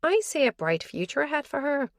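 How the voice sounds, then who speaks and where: A brisk young policewoman from an audiobook thriller, wry and lively, her lines lifted above the narration, voiced by a woman. The sound is close and dry. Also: high-pitched, no echo, voice pushed up.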